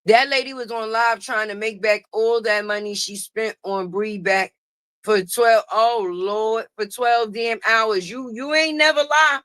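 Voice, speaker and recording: Loud sassy high-voiced woman streamer. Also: fairly even intonation, unhurried, no room sound. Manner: sassy, loud, greets viewers by name. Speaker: live stream host. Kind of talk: casual gossip commentary.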